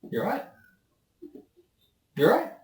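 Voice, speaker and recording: A man chatting casually as a voice actor being interviewed, rambling and opinionated. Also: slow pace. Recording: roomy echo, distant microphone.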